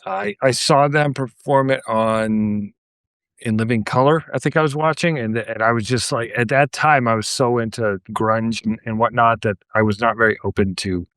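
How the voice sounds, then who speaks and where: A man's voice: mid-range voice, steady tone, moderate pace, fairly bright tone, smooth, no echo. A man co-hosting a podcast, reminiscing casually about music. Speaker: podcast co-host.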